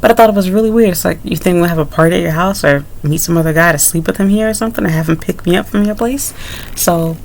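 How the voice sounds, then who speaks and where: A woman's voice: fairly low pitch, quick pace, vocal fry. A young adult woman vlogger telling a personal story in a candid, rambling way, with frequent asides to her viewers. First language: English. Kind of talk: casual story-time monologue.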